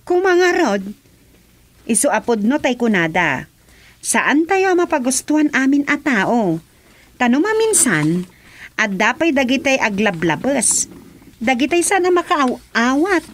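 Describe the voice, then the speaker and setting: High-voiced chatty Ilocano townswoman. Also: very animated, a little slow, pauses often, heavily acted. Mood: weary, reflective. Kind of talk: Ilocano radio comedy drama dialogue.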